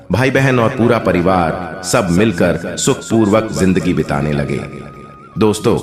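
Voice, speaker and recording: A man's voice: on the low side, reverberant. A man narrating a scripted moral folk tale as a storyteller.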